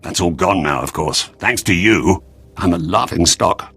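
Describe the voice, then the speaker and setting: A man's voice: sits low, a little slow, fairly bright tone, breathy edge, soft background music, exaggerated voice. A man acting a bitter, disgraced senior diplomat in a video game, formal and aggrieved in manner. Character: bitter, disgraced senior male ambassador, weary and indignant.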